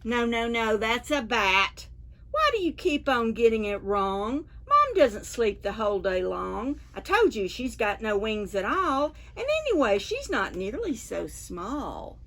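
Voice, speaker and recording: A woman's voice: fairly high pitch, sing-song, a little slow, fairly bright tone, heavily acted. A woman voicing an anxious, indignant little monkey child in a picture-book read-aloud for preschoolers. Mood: exasperated. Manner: indignant, emphatic protests.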